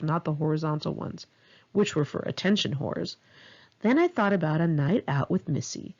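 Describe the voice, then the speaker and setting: American female memoir narrator, lively pitch movement, gravelly edge, confessional delivery, slightly dull home recording. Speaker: author reading own memoir. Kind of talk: audiobook narration of a first-person memoir.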